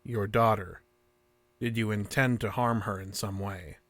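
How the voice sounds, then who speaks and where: A man's voice: on the low side, steady tone, a little slow, a bit bright, dry recording. A man playing a detached, formal interrogator from a mysterious force in a science fiction audio drama. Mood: clinical probing. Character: cold, clinical interrogator of a mysterious unseen force. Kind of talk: scripted audio drama acting.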